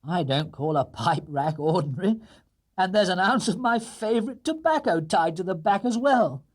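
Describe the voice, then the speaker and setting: Man acting a hearty, animated storybook father. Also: sits high, moderate pace, pitched above the performer's own voice. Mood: pleased surprise. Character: middle-aged family father, hearty, mock-stern.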